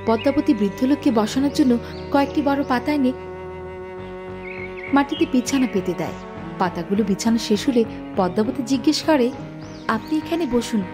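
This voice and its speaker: A woman narrator with a fairly high voice tells a scripted story. Music plays clearly behind her narration. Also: normal speed, long pauses.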